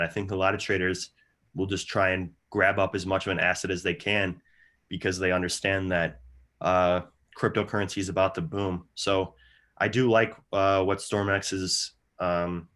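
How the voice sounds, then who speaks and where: Low-voiced man explaining market charts. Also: steady tone, moderate pace, fairly bright tone. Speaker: market analyst. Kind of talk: live technical chart commentary.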